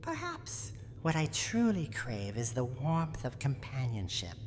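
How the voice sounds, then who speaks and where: A woman's voice: extremely low pitch, wildly swooping pitch, full character voice. A woman voicing a tough, guarded granny who is secretly lonely and tender, in a wistful, teasing roleplay ASMR monologue. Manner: wistful, teasing bravado, slow dramatic delivery. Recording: noisy, long reverberant tail.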